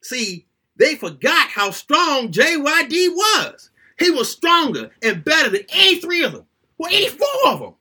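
A man reading a first-person story aloud in an animated, conversational, colloquial way, like someone recounting childhood memories. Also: very high voice, moderate pace.

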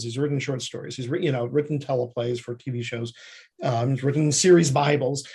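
A man's voice: a little high, a little mellow, slightly rough. A man speaking as an interview guest, explaining his writing work in a conversational way. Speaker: guest screenwriter and fiction writer.

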